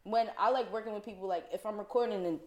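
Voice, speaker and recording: A woman's voice: mid-range voice, quick pace, a little mellow, reverberant. A young woman from New Jersey, a guest artist, chatting informally and slangily in an interview. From East Orange, New Jersey, USA. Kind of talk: casual interview chat.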